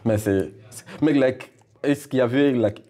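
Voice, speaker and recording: A man's voice: mid-range voice, measured pace, dark tone. A man hosting an interview, asking questions and often answering with short acknowledgments. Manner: frequent short backchannel replies. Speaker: host. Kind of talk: conversational interviewing.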